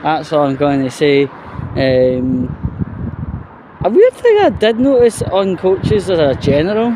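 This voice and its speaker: A Scottish man from around Glasgow talks casually to his vlog audience in a slightly high voice. His delivery is slow, blunt and grumbling, with some swearing. He is recorded outdoors at the roadside with clear traffic noise behind him. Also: animated. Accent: Scottish, Glasgow area. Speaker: vlogger presenting a walking video. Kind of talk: casual off-the-cuff vlog chat. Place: outdoors by a roadside bus stop. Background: traffic and street ambience.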